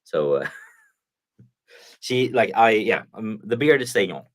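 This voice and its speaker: A Swedish-accented man chatting on a live stream in a lowish, easygoing voice. He talks haltingly, stopping and restarting his sentences often, and the sound is close to a streaming mic. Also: animated. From Sweden. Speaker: guest, streamer. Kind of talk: casual live-stream chat.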